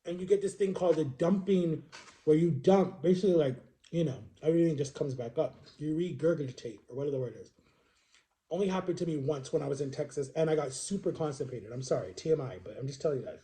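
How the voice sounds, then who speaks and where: Mellow, higher-pitched man in his thirties, chatting. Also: fast. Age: 30s. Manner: rambling, self-correcting, emphatic repetition. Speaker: vlogger. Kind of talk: casual chatty storytelling to viewers.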